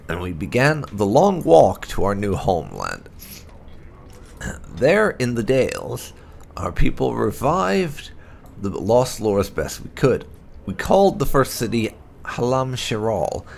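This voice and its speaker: Creaky-voiced man narrating fantasy lore. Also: fairly low pitch. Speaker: gaming channel host reading game lore aloud. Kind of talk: scripted lore narration, read aloud.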